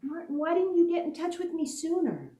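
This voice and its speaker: A loving, pleading woman, played by an actress in a 1940s radio melodrama. Her voice is high and swings widely in pitch, earnest and coaxing, with roomy echo around it. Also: very animated, fairly quick, mellow. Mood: pleading, tender. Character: loving, pleading woman urging a fugitive to surrender. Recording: roomy, echoing stage sound.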